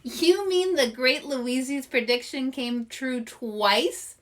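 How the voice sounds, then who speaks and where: A woman voicing a bold, showy, excitable young female animal in a storybook, grandly announcing with exuberance. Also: high-pitched, takes it slowly, pitched above the performer's own voice. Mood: delighted.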